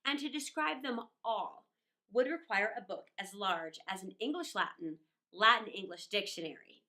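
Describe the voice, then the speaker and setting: High, bright, animated woman storyteller. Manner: expressive, with musing asides and dramatic pauses. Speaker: storyteller reading a children's book aloud. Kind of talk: animated, dramatic read-aloud for children.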